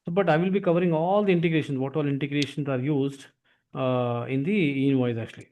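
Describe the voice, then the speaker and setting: A man with an Indian accent explaining as a software trainer in an online demo class, with repetitive phrasing. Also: on the high side, steady tone, fairly quick, slight background noise. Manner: repetitive phrasing, often adds "actually".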